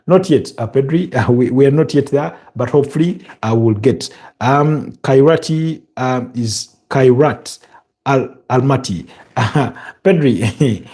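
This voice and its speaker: A man with a Ugandan accent hosting a live football stream, chatting in a halting way and answering viewer comments. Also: medium pitch, dark tone. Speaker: football show host.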